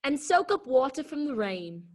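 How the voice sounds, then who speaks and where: A woman's voice: sits high, big pitch swings, moderate pace, darkish tone. A woman playing a vain, disdainful talking flower in a children's readers' theater performance. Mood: haughty. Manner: disdainful, superior. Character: vain, snooty talking garden flower, female.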